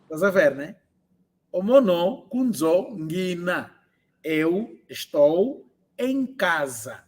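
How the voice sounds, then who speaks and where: Male online language tutor, high register, translates and repeats phrases for students. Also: very animated. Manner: repeats phrases slowly for learners. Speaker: language teacher.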